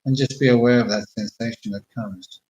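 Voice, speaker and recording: A man slowly talking listeners through a guided meditation with calm, murmured instructions. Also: mid-range voice, muffled recording, a little hiss or hum. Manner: slow, soothing, murmured instructions.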